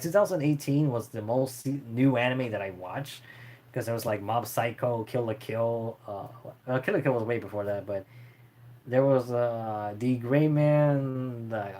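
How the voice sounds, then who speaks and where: A man's voice: mid-range voice, dark tone. A man chatting casually and unscripted as a streamer answering viewer questions, in a rambling, hesitant way.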